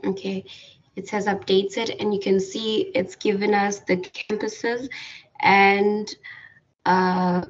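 A woman walking through a software tutorial over a screen recording, hesitant with many fillers and restarts. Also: medium pitch, flat delivery, slow. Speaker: tutorial presenter. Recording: distant, dull lo-fi mic.

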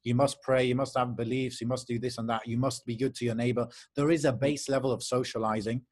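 Young man, podcast host, steady explainer, checks in often, video call from living room. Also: medium pitch, quick pace. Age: young adult. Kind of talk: casual podcast chat.

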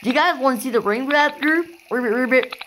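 A woman's voice: sits high, fast, fairly bright tone, a little hiss or hum. A woman voicing a small, cheerful, playful frog in improvised toy play, chirping ribbits.

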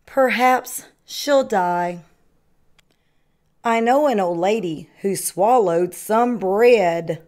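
A woman reading a children's picture book aloud in an expressive sing-song way, like a kindergarten teacher. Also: mid-range voice, extremely slow.

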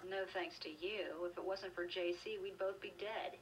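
An actress playing a bitter, fed-up young daughter in a video game, sullen and emphatic. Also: female voice, on the low side, steady tone, moderate pace, far from the mic, close to an everyday voice. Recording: game audio filmed off a TV, noisy.

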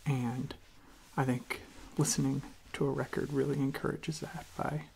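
A young adult ASMR host speaking in a soft, gentle whisper, halting and repeating words, close to the microphone. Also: medium pitch, level tone, creaky, a little hiss or hum.

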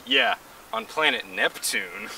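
Teen guy as a sarcastic hiker in a comedy skit, very bright edgy voice, lively pitch, outside. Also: takes it slowly, creaky, slightly rough, exaggerated voice, rough recording. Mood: snarky, defensive. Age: late teens. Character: snarky, defensive young male hiker. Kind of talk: amateur comedy sketch acting. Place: outdoors on a woodland trail. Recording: outdoors with faint ambient noise.

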